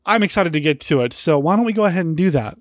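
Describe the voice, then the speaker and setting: A man's voice: on the high side, animated, fast, no room sound, studio quality. A man hosting a podcast, speaking with a nasal voice because of a head cold, giving an enthusiastic intro. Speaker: podcast host. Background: music.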